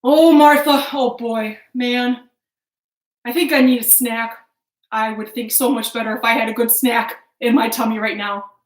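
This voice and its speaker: A woman voicing an excitable, simple-hearted woodcutter husband in a children's story, with blustering exclamations. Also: on the high side, a little slow, distant mic, natural voice. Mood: hungry, whining. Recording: echoey living room.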